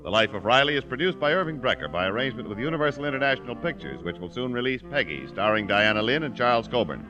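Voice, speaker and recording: A man's voice: medium pitch, sing-song, quick pace, hardly any pauses, muffled recording. A man working as a radio announcer, reading scripted sponsor copy and credits in 1950s broadcast style. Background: music.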